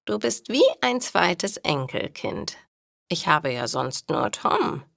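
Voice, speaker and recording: Woman voicing a kind, shrewd elderly grandmother, creaky. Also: low voice, big pitch swings, slow, a bit bright, no room sound, clean studio sound, exaggerated voice. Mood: frank, encouraging. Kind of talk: storybook dialogue for language learners.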